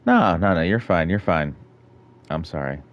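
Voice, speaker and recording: A man's voice: sits low, lively intonation, moderate pace, lo-fi sound. A man streaming a video game, rambling through casual, self-deprecating commentary as he plays.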